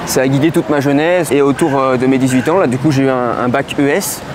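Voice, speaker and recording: A man in his twenties from the Lyon region, answering interview questions outdoors in a city, pausing often with fillers. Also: medium pitch. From Lyon region, France. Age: 20s. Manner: hesitant, many fillers and restarts. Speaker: interview guest. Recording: clear street noise behind.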